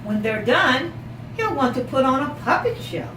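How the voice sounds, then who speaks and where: A woman teacher reading a picture book aloud to young children, asking questions, repeating their answers encouragingly and stretching words for emphasis. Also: high-pitched. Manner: expressive, drawn-out emphasis on story words. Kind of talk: interactive read-aloud storytelling with questions. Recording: echoey room, distant mic, loud background noise.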